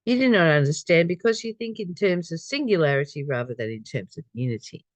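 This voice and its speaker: A spiritual study reader with a medium-pitched voice, recorded dry with very little room sound. The delivery moves between reading aloud and informal reflection, often repeating a phrase or putting a question to the listener. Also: animated, a little fast, dry recording.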